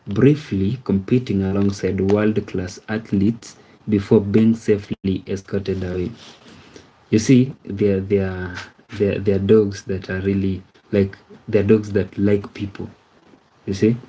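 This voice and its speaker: Low, flat-voiced man in his thirties. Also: slow pace, breathy edge, rough recording. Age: 30s. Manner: rambling, repeats words, often asks "you see?". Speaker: livestream host. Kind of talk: casual livestream chat reading viewer comments.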